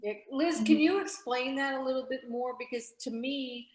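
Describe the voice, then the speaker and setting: A woman talking casually in a group discussion on a video call, feeling her way haltingly toward her words. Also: high-pitched. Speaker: book club discussion participant. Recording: echoey room on video call.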